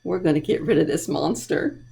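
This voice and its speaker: A woman's voice: low voice, a little fast, vocal fry, dark tone. A woman hosting a storytime for young children, talking playfully and directly to them as a library presenter. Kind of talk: children's storytime reading and chat.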